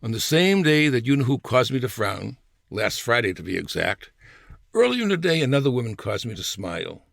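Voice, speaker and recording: A man's voice: mid-range voice, big pitch swings, a little fast, gravelly, fairly bright tone, no echo, clean studio sound. An older man reading his own humorous personal essay in a wry, storytelling way, acting out bits of dialogue.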